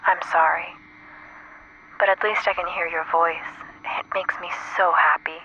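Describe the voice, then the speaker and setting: A tender, wistful woman in love, calling from another universe in a retro sci-fi audio drama. The voice is bright and filtered like an old phonograph. Faint static hisses around it. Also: on the low side, little pitch movement, measured pace, lo-fi sound. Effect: old phonograph or radio filter with static. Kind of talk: retro science fiction audio drama acting. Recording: small distant filtered voice, crackling static. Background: static noise.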